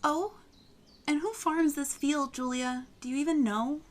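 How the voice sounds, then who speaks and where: High-voiced, uneasy young girl played by a woman. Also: unhurried. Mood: insistent, uneasy. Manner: insistent, uneasy. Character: wary, stubborn young village girl. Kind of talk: audio drama acting.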